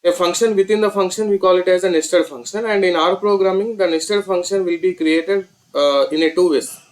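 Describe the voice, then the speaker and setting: Higher-pitched Indian man teaching. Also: brisk. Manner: step-by-step, repetitive explaining. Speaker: programming instructor. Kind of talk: screen-recorded tutorial explanation.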